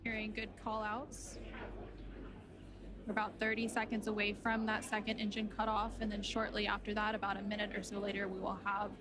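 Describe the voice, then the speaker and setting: A woman giving live spaceflight commentary in a measured, factual manner. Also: medium pitch, monotone, background noise. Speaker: launch webcast commentator. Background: prominent music.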